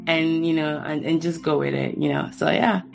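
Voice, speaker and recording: A woman in her thirties whose first language is Yoruba, hosting a casual podcast conversation in an animated, chatty way with many restarts. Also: sits low, moderate pace, gravelly, faint music behind. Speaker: podcast host; cancer researcher.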